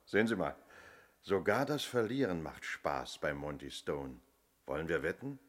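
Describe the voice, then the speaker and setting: An actor playing a courteous but persistent police inspector in a German radio play, questioning in a measured, official manner. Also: male voice, very deep voice, animated, a little hiss or hum. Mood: calm, wry.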